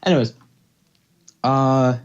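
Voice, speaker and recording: A man's voice: medium pitch, takes it slowly. Male amateur narrator, dull lo-fi sound. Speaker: narrator and host. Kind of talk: amateur story narration with casual banter.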